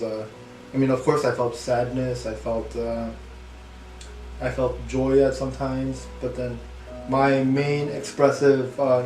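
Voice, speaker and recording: A young man whose first language is English and second is Korean, speaking as an interview guest with many fillers, halting and soft about painful memories. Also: medium pitch, reverberant, recorded from a distance, poor audio. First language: Korean is his second language; English first. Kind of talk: candid personal interview.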